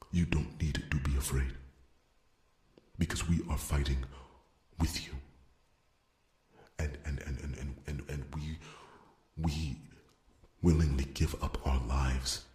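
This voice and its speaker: A man performs a devoted fantasy blacksmith and warrior with a rock-bottom pitch and little melodic movement. He speaks earnestly and haltingly, often repeating words, breathy to the edge of a whisper. It is an ASMR roleplay with a noticeably roomy, echoing sound. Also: fairly even intonation, a little mellow. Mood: devoted, reassuring.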